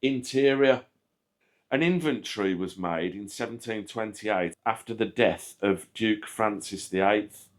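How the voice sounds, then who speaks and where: A man reading a scripted history narration aloud in a measured, factual manner. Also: lowish voice. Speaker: channel host narrating a history video.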